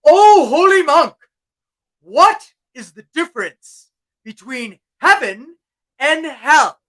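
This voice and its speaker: A woman voicing a hot-tempered samurai warrior who calls out loudly. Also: sits high, big pitch swings, slow pace, full character voice. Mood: demanding. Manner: loud, pleading outcry.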